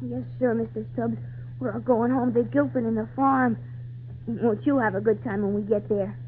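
Woman playing an earnest high-voiced runaway farm boy. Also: steady tone, moderate pace, close to an everyday voice. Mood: hushed, confiding. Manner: folksy, breathless, earnest child talk. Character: earnest, plucky runaway circus boy, folksy and frightened. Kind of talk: old-time radio drama acting. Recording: tinny, hissy 1940s radio recording.

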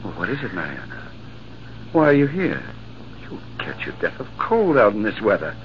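An actor playing a proud, hot-tempered army captain in a 1940s radio drama, speaking in an indignant, declamatory way. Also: male voice, fairly low pitch, huge pitch swings, normal speed. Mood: tender. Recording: muffled old radio broadcast with faint hiss.

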